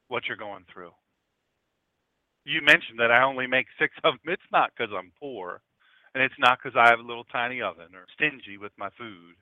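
A man voicing a kindly little old church lady who speaks softly and patiently. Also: mid-range voice, dry recording, narrow, tinny audio, close to an everyday voice. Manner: soft, quiet, patient.

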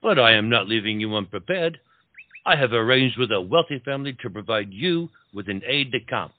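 An actor playing an urbane French marquis and officer, a loyal friend who coaxes and reassures, dropping French words into comic dialogue. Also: male voice, mid-range voice, lively intonation, fairly quick, no echo, phone-quality sound, close to an everyday voice. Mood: reassuring, urging. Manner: coaxing, sprinkles speech with French words. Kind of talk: theatrical comedy audio-play acting.

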